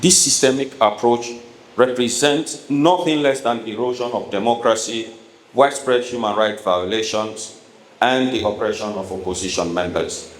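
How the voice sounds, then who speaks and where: A man with a Sierra Leonean accent delivers a formal prepared political speech as a politician, speaking emphatically and deliberately in measured phrases. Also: mid-range voice. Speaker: politician addressing a town hall. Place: echoey hall at a town hall event. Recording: echoey room with noticeable background noise.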